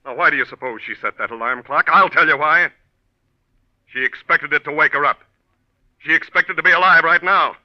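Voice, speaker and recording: A man playing a shrewd, knowing police detective in a 1940s radio drama, speaking in an insinuating, deliberate way. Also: a little high, lightly acted. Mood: knowing, probing. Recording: dull vintage radio recording.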